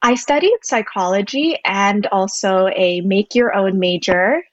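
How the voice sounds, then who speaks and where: A woman's voice: mid-range voice, measured pace, dry recording. Brief: young woman from Indonesia, earnest guest, dry dull lo-fi sound. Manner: thoughtful, earnest, explains at length. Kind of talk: podcast interview.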